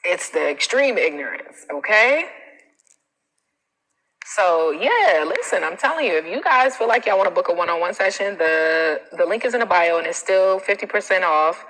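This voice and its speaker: An American woman giving casual livestream coaching in a blunt, animated, colloquial way. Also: lowish voice, normal speed. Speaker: self-confidence coach. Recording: reverberant, echoing room sound.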